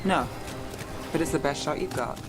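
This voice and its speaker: A woman's voice: sits low, moderate pace, close to an everyday voice. A woman playing a guarded, world-weary city investigator in a video game, speaking in a dry, understated way. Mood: matter-of-fact. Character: wry, guarded female city private investigator. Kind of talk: dramatic video game dialogue. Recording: music behind the dialogue. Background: game music.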